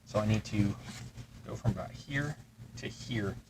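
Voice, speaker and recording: A man's voice: on the low side, a little slow, heavy background noise. A man hosting a terrain-crafting channel, chatting casually and muttering to himself as he builds. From Texas. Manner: rambling, mutters to himself while working. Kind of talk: casual chat while building miniatures.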